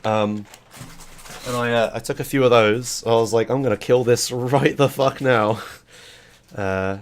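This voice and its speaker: A man hosting a podcast, talking loosely in a casual chat with his voice hoarse from a sore throat. He rambles, swears casually and laughs easily. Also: mid-range voice, steady tone, measured pace. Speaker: podcast host.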